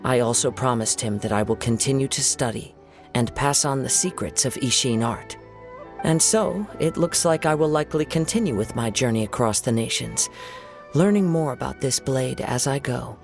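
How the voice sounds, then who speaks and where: A man plays a young wandering swordsman in a video game. The voice is mid-pitched with a clear creaky grain, and the delivery is gentle, measured and contemplative, with formal phrasing over faint music. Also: natural voice. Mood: polite, resolute.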